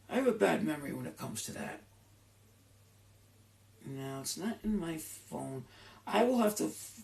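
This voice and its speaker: A man's voice: mid-range voice, creaky. A middle-aged man hosting a live question-and-answer stream, talking in a rambling, chatty way with many restarts and asides. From Rhode Island. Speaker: host of a live Q and A. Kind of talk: rambling casual chat.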